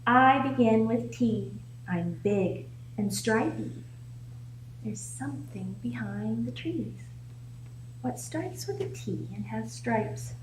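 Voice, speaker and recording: A woman's voice: fairly high pitch, expressive, slow pace. A woman reading a picture book aloud to young children as a storytime host, with an encouraging, sing-song way of prompting her listeners. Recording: echoey room with faint background noise.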